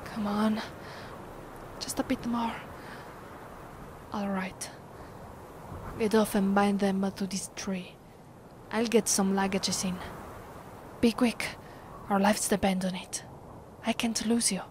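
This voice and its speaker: A woman with an Italian accent playing a commanding, vengeful vampire in an ASMR roleplay, her voice soft and breathy, often sinking to a whisper. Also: mid-range voice, fairly even intonation, a little hiss or hum, natural voice. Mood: alarmed, urgent. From Italy. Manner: slow, deliberate, imperious. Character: commanding, vengeful female vampire, secretly affectionate. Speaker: ASMR voice actress.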